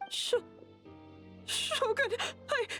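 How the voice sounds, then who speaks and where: A woman's voice: very slow, sharp tone, faint music behind. A woman voicing a timid, easily frightened young townswoman in an anime video game, stammering and hesitant. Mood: panicked, shaken.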